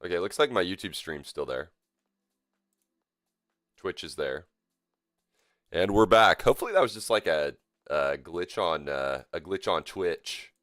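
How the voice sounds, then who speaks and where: A young man hosting a casual live game stream, sounding sick and coughy, with a voice deeper than usual and a laid-back, rambling way of talking. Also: fairly bright tone, dry recording, studio quality. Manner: laid-back, rambling, lots of fillers and self-corrections. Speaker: live-stream gamer host. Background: faint game music.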